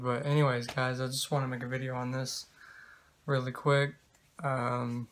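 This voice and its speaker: A man in his twenties talking casually to camera in a halting, hesitant, rambling way, recorded indoors in a bedroom. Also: mid-range voice, fairly bright tone. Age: 20s. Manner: halting, hesitant, trailing delivery. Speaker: vlogger.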